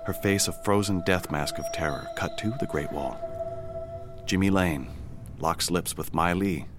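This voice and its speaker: An actor playing a harried, sarcastic, stammering government funding official in a satirical audio drama. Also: male voice, sits low, unhurried, music in the background. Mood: dramatic read-aloud.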